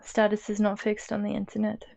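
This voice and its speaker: A woman co-hosting an intellectual discussion, speaking deliberately in short, broken phrases. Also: mid-range voice, completely flat delivery, vocal fry, darkish tone. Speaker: co-host of a discussion stream.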